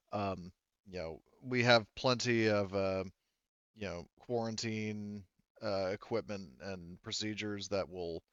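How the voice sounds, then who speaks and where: Halting low-voiced man running a tabletop game. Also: a bit bright, clear, resonant tone, no echo, lo-fi sound. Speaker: game master. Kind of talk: tabletop roleplay narration and dialogue.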